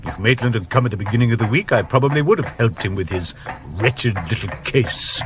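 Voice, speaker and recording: Male actor as vain Victorian actor-detective, British, declamatory, theatrical, medium pitch, old radio drama, light background noise. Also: brisk, close to an everyday voice. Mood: world-weary. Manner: pompous, declamatory. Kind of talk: 1970s radio drama acting. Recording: noisy old radio broadcast recording.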